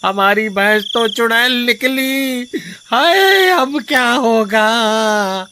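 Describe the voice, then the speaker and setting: A man's voice: very high voice, animated, very slow, pushed and bright, slight background noise, exaggerated voice. A man voicing a greedy, cowardly village milkman in a melodramatic cartoon story, whiny and exclaiming. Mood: terrified.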